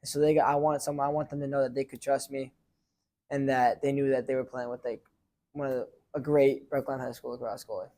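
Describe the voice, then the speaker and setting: A teenage boy and high school goalie answering interview questions casually, rambling with many fillers and restarts. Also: little pitch movement, brisk, mellow. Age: teens.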